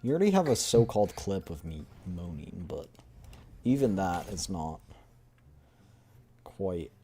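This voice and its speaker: A man's voice: low-pitched, very animated, a little slow, mellow, faint music behind. A man livestreaming a game, chatting casually with his audience. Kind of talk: casual game stream chat.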